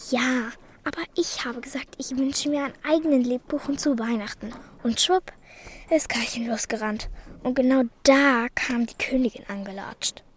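A woman's voice: sits high, normal speed, a little hiss or hum, close to an everyday voice. A woman playing a cheeky, bossy orphan girl with wistful moments in a children's radio drama, speaking in a pert, colloquial way. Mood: stubborn, troubled.

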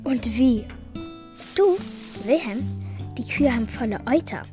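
A woman's voice: fairly high pitch, animated, measured pace, over music. A woman playing a cheeky, bossy orphan girl with wistful moments in a children's radio drama, speaking in a pert, colloquial way. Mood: playful, bossy.

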